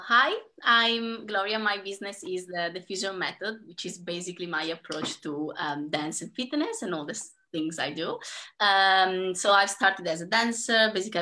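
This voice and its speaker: A woman's voice: on the low side, sharp tone. A woman in her thirties from Italy with an Italian accent, introducing herself as a dance educator on a video call, chatty with many fillers. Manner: chatty, many fillers, laughs between phrases. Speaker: panel guest, dance educator. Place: home room. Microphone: laptop webcam mic on video call.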